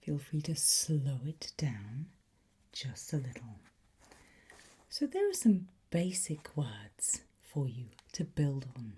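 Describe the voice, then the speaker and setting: A woman speaks in a soft, dark, very breathy whisper, at an extremely slow pace. She plays a patient ASMR teacher, gently encouraging the listener. The delivery is unhurried and close to unvoiced throughout. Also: extremely low pitch, animated. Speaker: ASMR host playing a language teacher.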